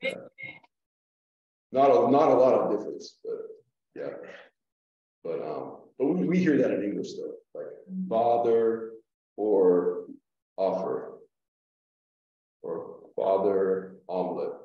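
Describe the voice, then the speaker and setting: A man teaching a Greek lesson at a whiteboard, explaining slowly with fillers and restarts, heard through a room mic on a video call. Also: fairly low pitch, animated, recorded from a distance. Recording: echoey room, dull lo-fi sound.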